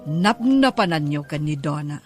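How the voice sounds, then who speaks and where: A man's voice: on the high side, animated, normal speed, faint music behind, natural voice. A middle-aged man voicing a father in an audio drama, earnestly lecturing his teenage son. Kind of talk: amateur audio drama acting.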